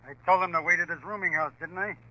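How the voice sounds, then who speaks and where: An actor playing an impatient, bossy gangster making demands in 1940s radio crime drama style. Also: male voice, high-pitched, fairly even intonation, fast talker, sharp tone. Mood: demanding. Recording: very noisy old 1940s radio recording.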